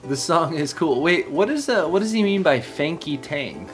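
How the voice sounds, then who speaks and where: A man's voice: fairly high pitch, animated, normal speed, faint music behind. A man co-hosting a podcast in a casual, playful, mock-earnest chatting style. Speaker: podcast co-host. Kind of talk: casual chat.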